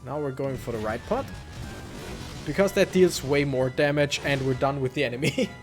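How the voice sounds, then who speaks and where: A man's voice: mid-range voice, normal speed. A man hosting a let's play with casual, rambling commentary, thinking aloud as he plays. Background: faint video game music.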